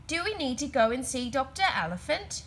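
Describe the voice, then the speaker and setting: An excitable young girl piglet played by a woman reading a storybook aloud. She is curious and eager, full of questions, with a high, bright voice that jumps up and down. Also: fairly quick, a little hiss or hum, heavily acted. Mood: worried. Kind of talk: children's storybook read-aloud.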